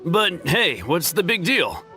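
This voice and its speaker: A man's voice: fairly high pitch, very animated, slow, sharp tone, heavily acted. A man voicing a carefree guard with a hidden short temper, talking in a breezy, laughing way. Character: easygoing young male guard, secretly hot-tempered. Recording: game audio with music underneath.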